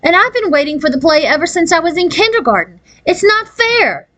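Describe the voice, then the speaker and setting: A woman's voice: very high-pitched, sing-song, brisk, fairly bright tone, pitched above the performer's own voice, heavily acted. A woman voicing an upset, doubtful fifth-grade girl, plaintive and hesitant, in a children's storybook reading.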